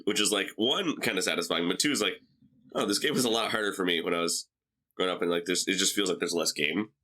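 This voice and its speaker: A man's voice: low voice, fast talker, sharp tone. A young man co-hosting a podcast, sounding stuffy from a head cold, casual with dry jokes. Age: young adult. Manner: dry, joking, laid-back. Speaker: podcast co-host. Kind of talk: casual chat between brothers.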